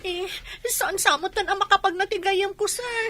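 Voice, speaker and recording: Incredulous young female friend, piercing high pitch, sharp tone, Ilocano Filipino accent, radio drama. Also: normal speed, dry recording. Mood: doubtful, questioning. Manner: questioning, incredulous. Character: exasperated, doubtful young female friend of a schemer.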